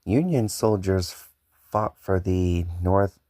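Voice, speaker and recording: An earnest male local hero, played by a man, answers interview questions stiffly, as if reciting. The voice is low and dark, recorded very dry. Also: slow pace, no room sound.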